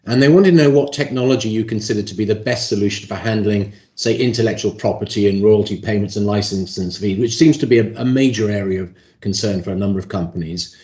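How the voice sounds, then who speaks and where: A man's voice: fairly low pitch, fast talker, hardly any pauses, mellow. A man hosting a business interview, putting conversational questions to a guest, with a roomy echo on his voice. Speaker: interviewer, host. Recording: roomy, echoing voice pickup.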